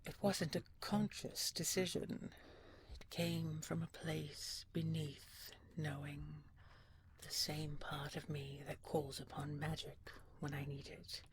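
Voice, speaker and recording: A woman playing a tender, regal dragon queen in an intimate audio roleplay, soft and breathy, often dropping to a whisper, with gentle, halting endearments. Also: low voice, level tone, background noise. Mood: tender, earnest. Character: tender, regal female dragon queen consoling her beloved. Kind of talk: intimate audio roleplay, sleep-aid storytelling.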